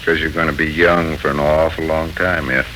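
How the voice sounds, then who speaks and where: An actor playing a wry, patient uncle in a 1940s radio serial, with a dry, teasing, measured way of talking. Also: male voice, a bass voice, level tone, sharp tone. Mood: gently teasing. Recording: noisy 1940s radio recording.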